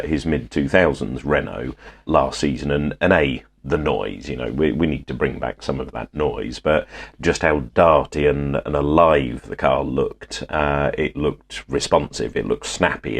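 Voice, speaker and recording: A man's voice: a bass voice, a little mellow. A man with a cold, talking over a video call as a podcast co-host, explaining motorsport at length with enthusiasm. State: has a cold, congested. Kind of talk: casual motorsport chat.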